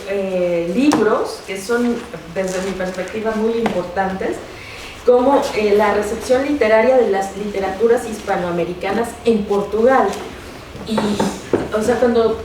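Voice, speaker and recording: A woman, an academic host introducing a guest speaker in a halting way, with many fillers and pauses. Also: medium pitch. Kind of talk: formal introduction. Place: university lecture hall. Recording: echoey hall, distant mic, background noise.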